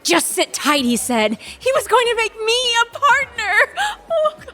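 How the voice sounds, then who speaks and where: A woman's voice: extremely high pitch, big pitch swings, unhurried, sharp tone, full character voice. A woman playing a blunt, weary law firm associate in an audio drama. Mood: stunned realization.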